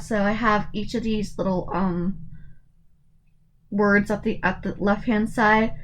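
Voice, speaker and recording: A woman's voice: fairly high pitch, a bit bright, poor audio. A woman in her twenties talking casually and hesitantly, with many fillers and restarts, her voice going in and out. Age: 20s. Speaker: YouTuber. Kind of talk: casual tier-list commentary.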